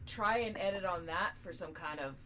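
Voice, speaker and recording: A woman's voice: fairly low pitch, moderate pace, a crowd in the background. A woman bantering casually with gaming friends, exclamatory and given to swearing. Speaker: tabletop game player. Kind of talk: casual group banter on a live stream.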